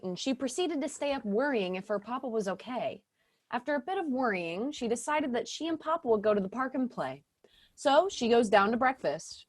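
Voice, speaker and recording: A woman narrating a fairy tale in a stage play, lively and expressive. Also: on the high side.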